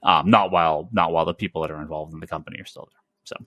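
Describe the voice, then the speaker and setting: A man's voice: rumbling low, steady tone, rapid-fire, pushed and bright, no echo. A man hosting a casual comics podcast, talking emphatically and with strong opinions, often restarting and repeating phrases. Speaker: podcast host, comic store owner.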